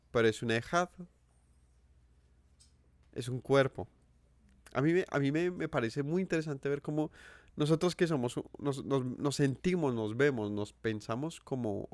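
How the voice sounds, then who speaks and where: A man's voice: medium pitch, no room sound. A Colombian man with a Colombian accent giving an earnest sermon, asking his listeners questions and repeating phrases for emphasis. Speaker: preacher. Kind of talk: sermon teaching.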